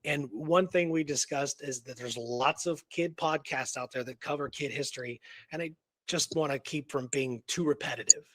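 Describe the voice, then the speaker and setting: A man's voice: fairly high pitch, moderate pace, fairly bright tone, dry recording. A man co-hosting a history show in casual, unscripted chat, rambling and conversational with emphatic repetition. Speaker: co-host of an Old West history show.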